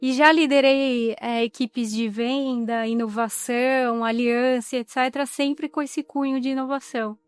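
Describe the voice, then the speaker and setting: High, smooth-voiced woman, courteous. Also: steady tone, a little slow, few pauses, no room sound. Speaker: panel guest, executive and professor. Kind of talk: panel discussion.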